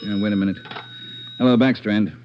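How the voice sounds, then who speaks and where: A commanding police captain, acted by a man with a fairly low voice, curt and used to giving orders. It sounds like a muffled 1940s radio drama with faint noise. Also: fairly even intonation, fairly quick, lightly acted. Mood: brisk, forceful.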